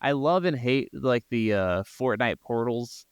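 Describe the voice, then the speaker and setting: A man's voice: medium pitch, lively intonation, a little slow, clear, resonant tone, dry recording, studio quality. An American man co-hosting a podcast, chatting casually about games in an informal, rambling way. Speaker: podcast co-host. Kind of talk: casual gaming chat.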